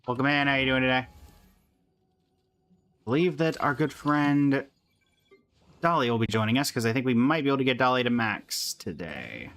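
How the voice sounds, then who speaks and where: A man's voice: medium pitch, a bit bright. A man streaming a video game, giving casual live commentary, greeting viewers and making playful asides to himself and the characters.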